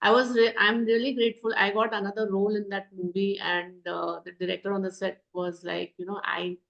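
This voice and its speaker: A woman's voice: medium pitch, little pitch movement, brisk, creaky, fairly bright tone, highs cut off. A woman actor whose first language is Gujarati, telling reflective, grateful stories in a conversational interview.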